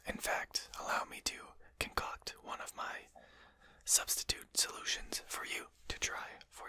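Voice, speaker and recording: Breathy whisper, very bright and hissing, a performer as a polite vampire lord, formal wording, composed, ASMR roleplay. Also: no room sound. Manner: polite, formal, unhurried hushed speech.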